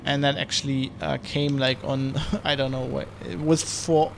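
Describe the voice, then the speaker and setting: A man's voice: mid-range voice, level tone, moderate pace, creaky, background noise. A man giving rambling, casual commentary while streaming a flight sim. He restarts and corrects himself often. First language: German.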